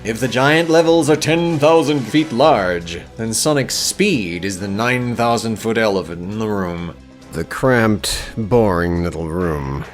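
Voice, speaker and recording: A man's voice: on the low side, animated, unhurried, faint music behind. A man narrating a scripted video essay, with theatrical, sardonic emphasis.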